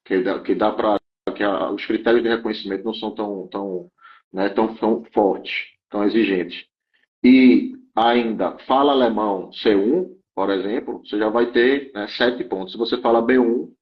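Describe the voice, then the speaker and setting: A man's voice: lowish voice, moderate pace, muffled recording. A man explaining immigration rules in an interview, often checking in with his listener and restarting phrases.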